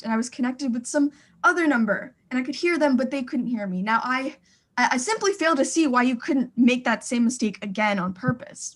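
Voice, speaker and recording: Frantic society wife, played by an actress, very high voice, haughty and demanding, radio thriller. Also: female voice, lightly acted. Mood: indignant, insistent. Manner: indignant, demanding, stammering in agitation. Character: imperious, frantic, fretful well-to-do married woman.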